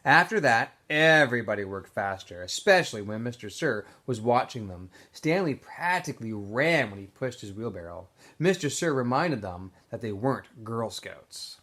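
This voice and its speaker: Male story reader, classroom read-aloud feel, animated and emphatic delivery. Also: mid-range voice, moderate pace, hardly any pauses. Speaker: teacher reading aloud to students.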